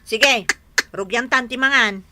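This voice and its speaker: A woman's voice: on the high side, unhurried, heavy background noise, natural voice. A woman playing a bossy, thrifty wife who scolds and commands in an Ilocano radio drama, with a northern Philippine accent. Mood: commanding. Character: bossy, sharp-tongued, thrifty wife of a worker.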